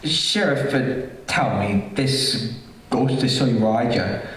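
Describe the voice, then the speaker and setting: An actor playing a composed, inquisitive sleuth who questions in a measured way, in old-time radio mystery style. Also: male voice, mid-range voice, natural voice. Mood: inquiring. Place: theater stage, live performance. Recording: very echoey theater, distant mic.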